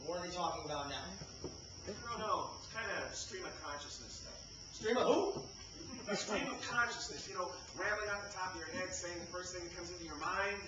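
An actor playing a bickering, profane theatergoer in a loud comic stage play. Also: male voice, high-pitched, fairly quick, natural voice. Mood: idle bickering. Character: two bickering male theatergoers, one crude bored skeptic, one earnest explainer. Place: live summer theater stage. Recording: distant, echoey, noisy live theater recording.

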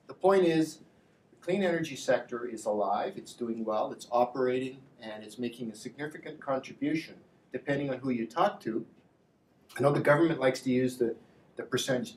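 A middle-aged man gives a public presentation at a panel, speaking in a measured, halting way with emphatic repeats. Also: medium pitch, darkish tone. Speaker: industry association representative, panel speaker. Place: indoor lecture room with slide screen. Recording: echoey room, distant mic, faint noise.

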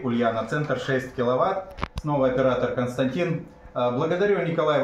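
A middle-aged man making an amateur radio call as the club's operator. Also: medium pitch. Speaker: radio club operator and leader. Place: small school radio room, indoors. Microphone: picked up by camera in the room. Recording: echoey room, faint noise.

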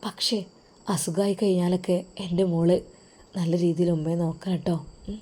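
A woman voicing a gentle young husband who speaks softly and comfortingly to his wife. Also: normal speed, natural voice. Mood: soothing. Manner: soft, low and comforting.

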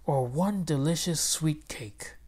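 A woman's voice: sits low, slow, dark tone, husky, full character voice. A woman voicing a mild, marveling old farmer husband in a storybook, speaking in an earnest, exclamatory way. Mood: puzzled, wishful. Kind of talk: storybook character voice.

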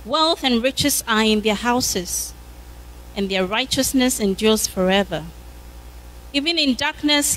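A woman's voice: a little high, fairly bright tone, a little hiss or hum. A woman in her thirties preaching a sermon with a handheld mic in a church hall, fervent and punctuated by hallelujahs.

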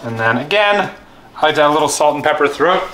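A man in his thirties casually showing a recipe in his home kitchen, joking and gently coaching his young daughter. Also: fairly high pitch, animated, quick pace, background noise. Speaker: home cook and vlogger. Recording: echoey kitchen with music behind. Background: background music and clattering pots.

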